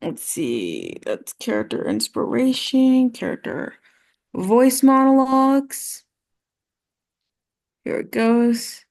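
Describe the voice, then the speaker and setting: A woman's voice: a little high, very animated, slow pace, creaky, pauses often, a little mellow. A woman student chatting casually and hesitantly, thinking aloud as she goes. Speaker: voice acting student. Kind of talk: casual chat.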